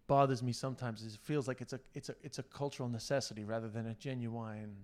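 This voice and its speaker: Fast-talking teasing male podcast host. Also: mid-range voice, steady tone, a little mellow. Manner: teasing, halting restarts. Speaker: podcast host interviewing a friend. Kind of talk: casual profane banter.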